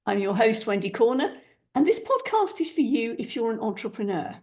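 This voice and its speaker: A woman's voice: fairly high pitch, very animated, fairly quick, a little mellow. A woman hosting a podcast, chatty and encouraging, with playful asides to listeners. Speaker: podcast host. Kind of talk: upbeat podcast intro.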